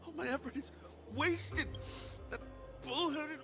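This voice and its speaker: A man playing a gruff, exasperated, grumbling character in a video game cutscene. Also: extremely high pitch, slow pace, music in the background, full character voice. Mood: bitter, upset. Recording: clear background ambience noise.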